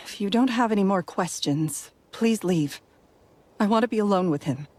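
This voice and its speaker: An actress playing a wary, grieving young woman in a video game, speaking slowly and sighing often. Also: mid-range voice, lively intonation, a little mellow, a little hiss or hum, natural voice. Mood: dismissive. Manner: slow, guarded, sighing.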